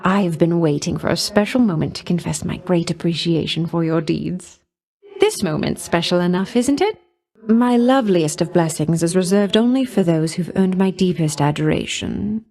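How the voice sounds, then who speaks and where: An actress playing a coquettish, affectionate goddess of love in a video game, speaking in a grand, coy manner full of doting endearments. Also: female voice, fairly low pitch, normal speed, darkish tone, close to an everyday voice. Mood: adoring, flirtatious. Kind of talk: video game character voice lines.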